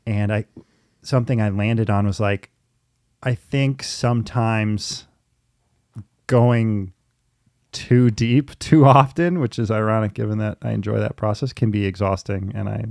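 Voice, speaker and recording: A man's voice: fairly low pitch, steady tone, a little slow, creaky, darkish tone, no echo, studio quality. A man in his thirties chatting casually and candidly as a podcast host, laughing easily as he talks. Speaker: podcast host and therapy client. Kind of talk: casual conversational chat.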